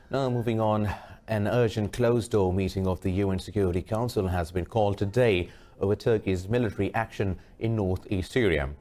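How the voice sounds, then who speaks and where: Low-voiced male news anchor. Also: dark tone. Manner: formal, measured delivery. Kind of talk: scripted news bulletin reading.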